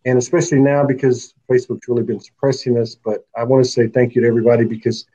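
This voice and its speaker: A man's voice: medium pitch, level tone, fast, warm tone, low-quality recording. A man hosting a live online talk show in a loose, chatty way, laughing often and thanking viewers by name. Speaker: host.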